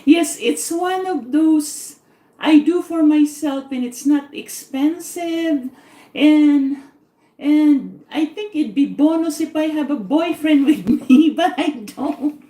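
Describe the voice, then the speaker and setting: Slow-talking Filipino woman, echoey room. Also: high voice, dark tone. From Philippines. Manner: rambling, repetitive, laughs often. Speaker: cooking vlogger. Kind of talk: live-stream casual chat.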